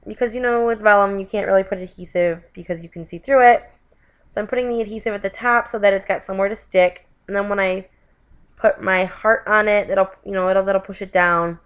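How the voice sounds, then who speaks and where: A woman's voice: medium pitch, smooth. Stuffy-nosed woman talking thru a craft tutorial, chatty, keeps correcting herself, says you guys a lot. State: stuffy from a lingering cold. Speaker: craft video host. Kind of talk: voiceover narration of a card-making tutorial.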